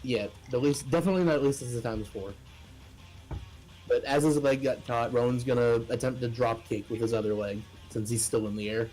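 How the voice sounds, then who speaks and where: A man's voice: medium pitch, fairly quick, darkish tone, low-quality recording. A man talking casually as a player in a tabletop role-playing game, informal and sweary. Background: guitar music.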